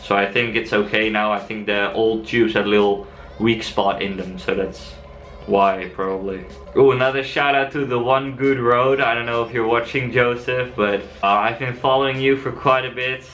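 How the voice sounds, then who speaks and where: A young Dutch man with a Dutch accent, answering viewer questions in a relaxed, chatty vlog. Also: mid-range voice, moderate pace, slight background noise. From Netherlands. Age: young adult. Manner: relaxed and chatty, frequent fillers. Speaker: cycle-touring vlogger. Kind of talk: casual vlog Q&A.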